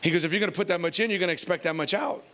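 An American male pastor delivers an informal sermon in a bright, forceful voice pitched a little high. He is animated and conversational, using colloquial phrasing and repeating phrases for emphasis. He speaks into a handheld microphone from a church stage. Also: rapid-fire.

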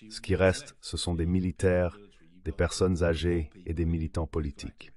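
A man's voice: deep voice, level tone, moderate pace, a little mellow. A man's synthetic voice-over reading an interview guest's translated answers in a scripted way.

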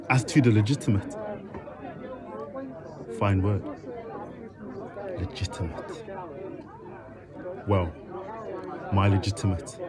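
A man playing a scheming, defiant bastard son, declaiming verse with sardonic boldness in an audio drama. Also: on the low side.

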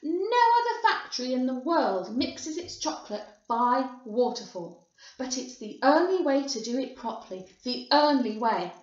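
An eccentric, boastful candy maker from a storybook, acted out by a woman. The pitch is high and swoops with theatrical emphasis. It is heard in an echoey room. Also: sing-song, moderate pace. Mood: excited, proud. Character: eccentric, excitable, boastful male candy factory owner. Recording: muffled, boxy echoing room.

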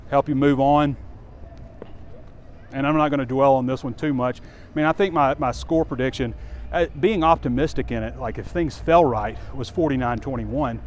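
A man in his forties giving candid, rambling sports commentary while walking outdoors, recorded on a handheld phone. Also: mid-range voice. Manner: candid, conversational, rambling with fillers. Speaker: sports site publisher. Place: outdoors on a campus street near a stadium. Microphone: phone camera held close while walking. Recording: faint outdoor street noise.

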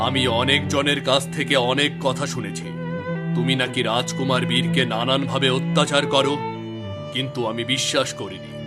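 A man voicing a stern but caring fairy-tale king, measured and authoritative. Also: medium pitch, fairly even intonation, loud music behind the voice, natural voice. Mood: stern, reproachful. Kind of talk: cartoon fairy-tale voice acting.

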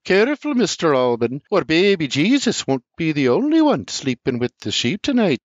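A man doing a sharp-tongued mother. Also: wildly swooping pitch, moderate pace, dry recording. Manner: high, loud put-on voice. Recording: slightly muffled dry recording.

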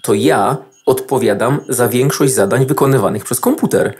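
A man's voice: mid-range voice, normal speed, natural voice. Man as a matter-of-fact, overworked computer processor. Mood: matter-of-fact, weary. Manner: mechanical counting, matter-of-fact, weary. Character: overworked, literal-minded male computer processor. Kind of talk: children's radio play.

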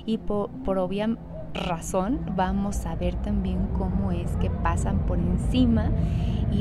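A woman's voice: fairly low pitch, mellow. Chatty Mexican woman vlogging outdoors. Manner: chatty, addresses viewers directly, fond of diminutives. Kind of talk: casual travel vlog narration.

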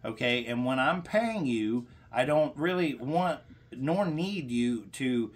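A middle-aged man making a confrontational complaint as a caller, speaking in a halting, insistent, lecturing way, recorded in a small room. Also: medium pitch, lively intonation. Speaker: caller to a senator's office.

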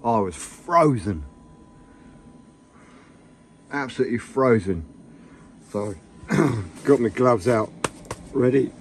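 A man chatting casually to camera from inside his camper van, rambling and enthusiastic, his voice a little hoarse. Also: medium pitch, lively intonation, slow pace, long pauses, slight background noise. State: slightly hoarse. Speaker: vlogger. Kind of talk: casual vlog chat.

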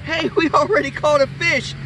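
A man's voice: extremely high pitch, steady tone, normal speed. An American man chatting casually to viewers in a folksy way, outdoors on a handheld camera. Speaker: vlog host, father fishing with family. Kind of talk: casual outdoor fishing vlog chat. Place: outdoors beside a park pond. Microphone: handheld phone camera a few feet away. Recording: outdoor ambient noise, handheld camera mic.

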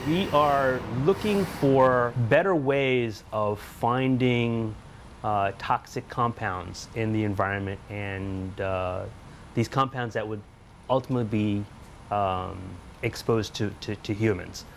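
A man's voice: lowish voice, slow, slight background noise. A middle-aged man, a research scientist who grew up in the US, talking casually about his lab work with frequent fillers and restarts. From Taiwan, grew up in the US. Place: indoor laboratory.

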